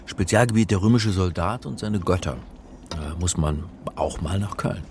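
Flustered academic sleuth, lowish voice, sardonic and hesitant, radio crime play, noisy background. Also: male voice, moderate pace. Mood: eager. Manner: hesitant, dryly sarcastic. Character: flustered male religious studies lecturer, sardonic accidental sleuth. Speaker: narrator and lead actor. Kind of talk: radio crime drama, dialogue and first-person narration.

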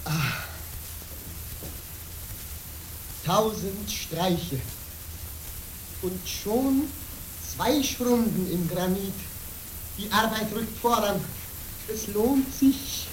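A steadfast, solemn monk in a radio play. He speaks slowly and calmly in an echoey space with clear noise behind. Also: sits high, heavily acted. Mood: labouring, satisfied. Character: calm, steadfast monk hewing a path through granite. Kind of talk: theatrical radio play acting. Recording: echoey, noisy radio recording.

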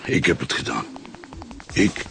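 An actor playing a grim, stubborn Flemish man who talks tersely and haltingly in a radio drama. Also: music in the background, lightly acted.

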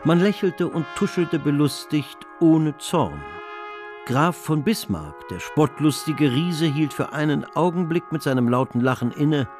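An actor narrating a historical radio drama as an observant, literary storyteller in measured phrases. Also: male voice, on the high side, animated. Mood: measured, vivid. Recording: studio radio play, music behind.

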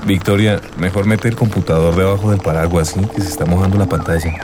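A man's voice: deep voice, steady tone, fast, over music, close to an everyday voice. An actor playing an earnest young man in love, searching and telling his story in a radio drama.

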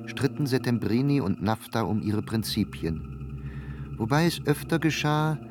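A man narrating a literary radio play from a script in a measured, formal way. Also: mid-range voice, expressive, noisy background.

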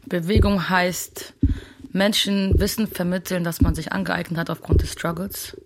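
A woman's voice: fairly low pitch, fairly even intonation, normal speed. A woman giving a reflective spoken statement.

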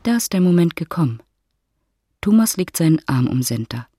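An actress as a wry, husky storyteller, narrating a literary radio drama as if reading stage directions. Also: female voice, fairly low pitch, very animated, normal speed, warm tone, slight background noise. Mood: observing.

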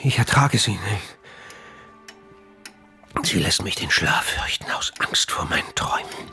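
A man's voice: sits low, animated, measured pace, bright, forceful tone, faint music behind, exaggerated voice. An actor playing an anguished, sleepless man tormented by nightmares in a German radio drama, with a strained, whispery voice. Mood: anguished, desperate.

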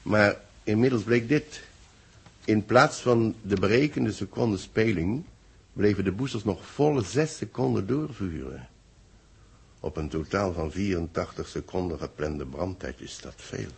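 An actor playing a deliberate space-base official in 1960s radio drama, with a husky voice and a Netherlands Dutch accent. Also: male voice, lowish voice, exaggerated voice. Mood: measured, explanatory. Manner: deliberate, explanatory. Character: measured male space-base official briefing his secretary.

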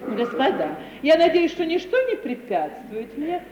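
An actress playing a sanctimonious, self-pitying middle-aged widowed landowner in an affected, theatrical radio comedy manner. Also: female voice, high voice, sing-song, darkish tone, highs cut off. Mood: sentimental. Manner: theatrical, affected, drawn-out self-justifying speech. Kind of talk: 1950 Russian stage-comedy radio acting. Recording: echoey hall, noisy 1950 radio recording.

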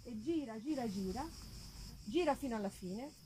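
A woman's voice: a little high, lively intonation, normal speed, noisy background. A woman chatting informally to an audience between songs at a live outdoor concert, often tossing questions to them. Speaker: choir singer presenting songs. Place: outdoor festival stage.

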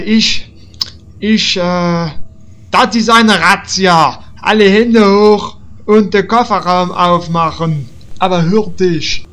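Man playing a high-voiced, halting, pompous bumbler. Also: fairly bright tone. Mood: flustered. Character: bumbling, pompous male would-be telepathic space counselor. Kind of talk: comic audio drama.

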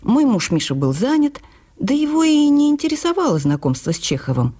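Woman memoirist, radio drama, expressive literary storytelling, animated intonation, dark husky voice. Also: sits high, fairly quick. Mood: wistful recollection. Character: woman writer warmly recalling her youth.

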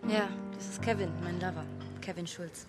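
An actress playing a serious, pained young student in a German radio drama, in a conversational way. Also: female voice, on the low side, fairly even intonation, moderate pace, close to an everyday voice. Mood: pained, candid. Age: young adult. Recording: loud music behind the voice.

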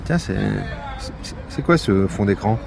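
Male investigator, low-pitched, dry and pragmatic partner for realistic radio fiction, noticeable vehicle noise behind. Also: lively intonation, unhurried. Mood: businesslike. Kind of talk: naturalistic audio fiction dialogue.

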